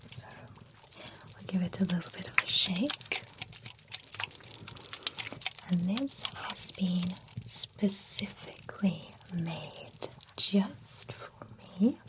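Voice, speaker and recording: Chatty female vampire spa groomer , whispered by a woman, slow and low, polite salon patter. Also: rough recording. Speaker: ASMR roleplay performer. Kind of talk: whispered ASMR roleplay.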